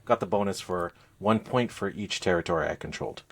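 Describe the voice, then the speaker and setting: A man speaking as a podcast co-host, explaining things in a casual, halting, conversational review style. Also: deep voice. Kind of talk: casual game review chat.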